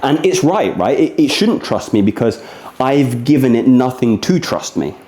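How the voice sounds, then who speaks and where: A man's voice: mid-range voice, sing-song, normal speed, warm tone, slight background noise. A man talking casually and earnestly while demonstrating rehab exercises, in a confiding, conversational fitness vlog manner. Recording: echoey, roomy sound.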